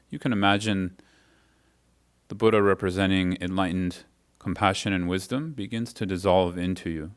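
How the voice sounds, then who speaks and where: A man with a very deep, resonant voice speaks slowly and gently as he guides listeners through a meditation. His delivery is calm and unhurried, with smooth, clear tone, as a teacher of Buddhism. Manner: slow, soft-spoken meditation guidance; chants mantra slowly and drawn out. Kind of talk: guided meditation and chanting, then lecture. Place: meditation hall at a religious society.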